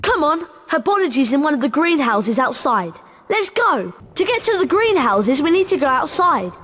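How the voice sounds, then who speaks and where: Excitable high-voiced schoolchild. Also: female voice, normal speed. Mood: eager. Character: eager, excitable young schoolchild, friendly. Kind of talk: scripted video game dialogue.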